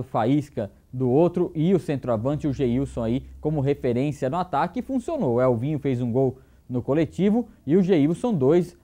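Chatty sports presenter, mellow voice. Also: male voice, mid-range voice. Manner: chatty, conversational. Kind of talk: casual studio sports report. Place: TV studio. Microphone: lapel mic.

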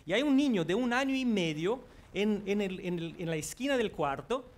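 A Brazilian-accented man, a native Portuguese speaker, lectures on psychology with earnest energy. His voice sits fairly high for a man. He speaks into a headset mic in a large auditorium. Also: lively intonation, moderate pace. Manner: earnest, walks the stage while talking. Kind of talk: public lecture. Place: large auditorium before an audience.

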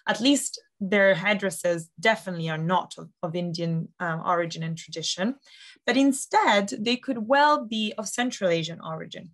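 A woman's voice: fairly low pitch, expressive, normal speed. Woman, thirties, art specialist, enthusiastic, chatty with fillers, webcam video call, home study. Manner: enthusiastic, detailed, many fillers like "um" and "like". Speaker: auction house specialist. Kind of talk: expert explaining art in a video call interview.

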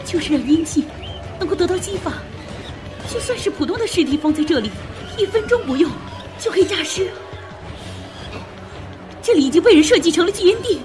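Woman acting a high-pitched, frightened young woman. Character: frightened young woman explaining ghostly horrors. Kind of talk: Chinese audio drama acting. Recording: music under the voice. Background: dramatic music.

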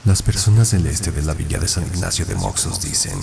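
A man narrating a scripted creepy countdown in an ominous, deliberate way. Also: sits low, gravelly. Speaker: narrator of a video game countdown.

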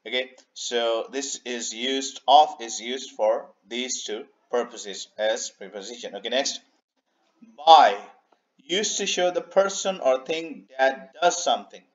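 A man explains English grammar over slides in an unhurried voice-over. He repeats points and leans on "okay" and "uh" as he goes. His voice is mid-pitched, and the audio is slightly dull and lo-fi. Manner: unhurried, repeats himself, many "okay" and "uh" fillers. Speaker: English teacher. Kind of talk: slide-based grammar lesson. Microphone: voice-over on a screen recording.

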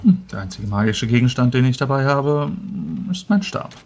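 A man playing a polite, self-assured young scholarly mage in a tabletop game, speaking formally and deliberately with hesitations. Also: mid-range voice, expressive, darkish tone, close to an everyday voice. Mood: cautious assurance. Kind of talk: tabletop roleplay in character.

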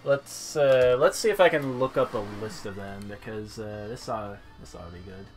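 A man doing casual game commentary as a streamer, halting as he goes and dropping wry asides. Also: on the low side. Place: small room at home, indoors. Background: game music.

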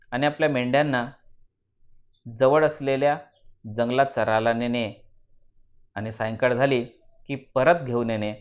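A man narrating a moral story for students in deliberate phrases with dramatic emphasis. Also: mid-range voice, lo-fi sound.

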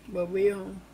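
A young woman vlogging casually with her partner, excitable and gleeful about winning, recorded on a handheld camera. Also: mid-range voice. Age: young adult. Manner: excitable, squeals when winning. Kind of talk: casual vlog chat. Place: bowling alley, then car and bedroom. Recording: noisy handheld camera audio.

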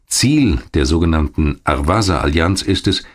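A man reading scripted documentary narration in a measured, clearly articulated storytelling manner. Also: extremely low pitch, expressive. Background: music behind the voice.

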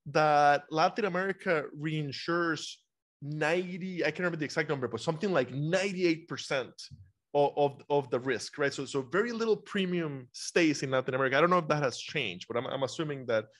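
A chatty male podcast host from Costa Rica, talking in a fairly high voice over a video call. He often repeats words as he starts his sentences, and his delivery is loose and conversational. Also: brisk, fairly bright tone. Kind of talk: casual interview chat. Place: bright home room. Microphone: video call with over-ear headphones.

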